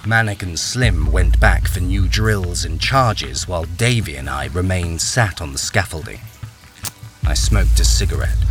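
An actor playing a haunted miner, narrating his ordeal in a brooding, suspenseful radio-drama style. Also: deep voice. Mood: grim, tense. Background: music.

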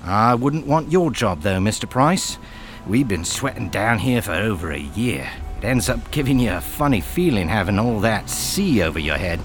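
An actor playing a friendly, gossipy working-class smuggler with a matey, chatty way of talking, in a radio drama. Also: male voice, fairly low pitch, very animated, moderate pace, soft background music. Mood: matey, wry.

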